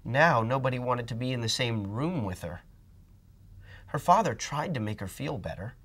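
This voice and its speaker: Expressive man reading a storybook. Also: mid-range voice, normal speed, husky. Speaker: storybook reader. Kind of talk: children's read-aloud narration.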